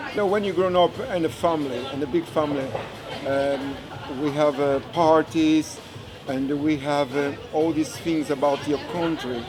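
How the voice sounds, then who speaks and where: A man from Angola, a kizomba teacher speaking haltingly in an interview, searching for his words. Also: a little high, fairly even intonation. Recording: music and crowd behind.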